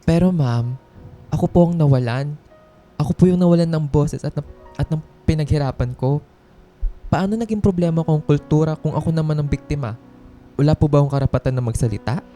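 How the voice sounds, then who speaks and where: A man acts out an aggrieved but earnest male employee in a radio drama. His voice is very mellow and somewhat high for a man, hesitant and polite, with music playing underneath. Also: brisk, long pauses, close to an everyday voice. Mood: aggrieved, pleading. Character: anxious, aggrieved male office employee standing up for himself. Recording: music behind the voice.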